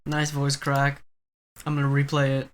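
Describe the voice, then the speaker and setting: A man's voice: medium pitch, completely flat delivery, normal speed. A young man co-hosting a podcast with teasing, joking banter. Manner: teasing, jokey. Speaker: podcast co-host.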